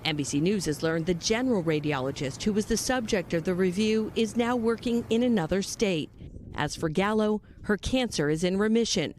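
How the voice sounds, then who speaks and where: A woman's voice: mid-range voice, moderate pace, a little mellow, slight background noise. A woman with an American accent reading a scripted news report as narrator.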